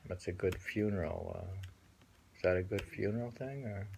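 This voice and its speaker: A man reading translated poems aloud and musing on them casually, in a halting manner with repeated phrases and a husky, gravelly voice. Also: low-pitched, mellow. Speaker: reader and host.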